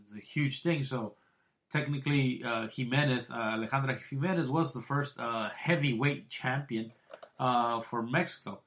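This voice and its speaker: A man hosting a boxing podcast, rambling with many restarts and crude, blunt remarks, swinging between loud excited bursts and low mutters. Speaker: podcast host. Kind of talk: casual boxing podcast commentary.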